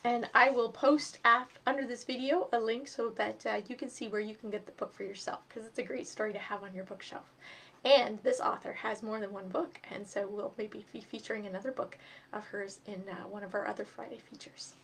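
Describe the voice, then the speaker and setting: A woman's voice: mid-range voice, steady tone, brisk, gravelly, fairly bright tone, husky. A woman hosting a children's storytime, talking to viewers and reading a story aloud expressively. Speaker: storytime host and reader.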